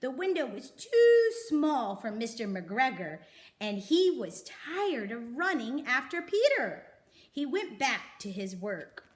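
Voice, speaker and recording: Animated woman reading a children's story. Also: on the high side. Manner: animated, dramatic emphasis with pauses. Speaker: storybook reader. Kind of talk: expressive children's story narration.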